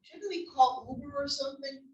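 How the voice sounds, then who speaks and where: A no-nonsense old wife, read by a woman at a comedy table read, dry and impatient with her husband. Her voice is high and carries from a distance in an echoey room. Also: moderate pace, natural voice. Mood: exasperated.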